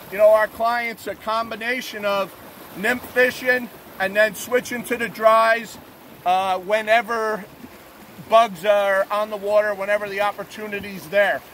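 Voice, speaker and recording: A man in his forties giving a relaxed, plainspoken fishing report from a drift boat on a river. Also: sits high. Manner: relaxed, plainspoken, filler-heavy. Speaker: fishing guide. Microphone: camera mounted on the boat at arm's length. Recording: faint water and outdoor noise. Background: rushing river water.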